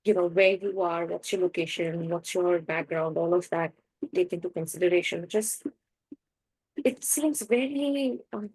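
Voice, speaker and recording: A woman from India with a husky voice, making her point in a casual group discussion. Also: fairly low pitch, unhurried, darkish tone, no room sound, poor audio. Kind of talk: casual discussion from personal experience.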